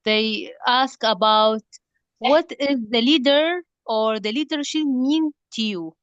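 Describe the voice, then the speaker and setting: Hesitant woman participant, slow delivery, dull dry call sound. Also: a little high, no room sound. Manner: hesitant, many fillers. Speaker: participant asking a question.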